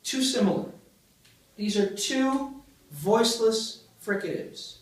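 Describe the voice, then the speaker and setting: A man's voice: high-pitched, animated, slow pace, far from the mic. Man lecturing in an echoey hall. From Greece. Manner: explains step by step, asks the class questions. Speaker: linguistics lecturer. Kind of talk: university lecture. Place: large lecture room.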